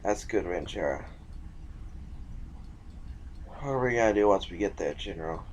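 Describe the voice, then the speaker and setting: A man's voice: low voice, animated, moderate pace, a little mellow, highs cut off. A man playing an ailing, resigned soldier in a science fiction audio drama, sounding weary and unwell. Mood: anxious, curious. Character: ailing, resigned soldier awaiting a last stand. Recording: music clearly behind the voice.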